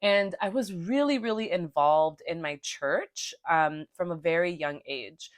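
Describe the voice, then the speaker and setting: A woman's voice: on the low side, a little slow, no echo, clean studio sound. A young woman from Los Angeles, a native Spanish speaker, hosting her own podcast in a casual, chatty way, swearing freely and tagging sentences with "right?" and "okay?". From Los Angeles, California. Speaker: podcast host and sex coach. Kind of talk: casual solo podcast monologue.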